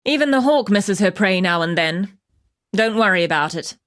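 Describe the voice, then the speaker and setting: An actress playing a tough, wry wilderness huntress in a video game, speaking in a measured, dry, matter-of-fact way as she hands out hunting quests. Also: mid-range voice, lively intonation, natural voice. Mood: approving, purposeful. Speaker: game character voice actor.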